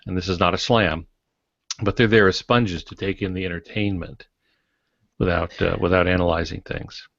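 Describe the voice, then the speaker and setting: A man with a low voice co-hosts a relaxed discussion podcast. He explains his points in a measured, conversational way. The sound is very dry and somewhat dull. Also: dry recording. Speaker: podcast co-host.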